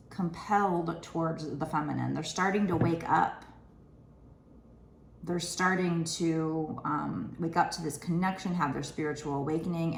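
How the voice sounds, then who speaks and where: A woman in her thirties with a raspy, tired voice, giving a casual, rambling tarot card reading at a table indoors. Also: deep voice, echoey room, a little hiss or hum. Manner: chatty, rambling, with many fillers. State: raspy from lack of sleep. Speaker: tarot reader.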